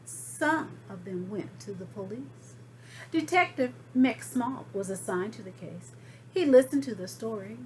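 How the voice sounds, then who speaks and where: A woman reading a children's story aloud as expressive narration, with deliberate, dramatic phrasing. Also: on the high side, slight background noise, low-quality recording. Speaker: storybook reader.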